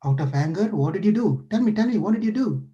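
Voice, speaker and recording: A man's voice: high voice, expressive, fast talker, warm tone, muffled recording. A man voicing a playful, caring male deity who eagerly questions a frightened sage in a devotional story. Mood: curious, eager. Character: playful caring divine lord, male, curious.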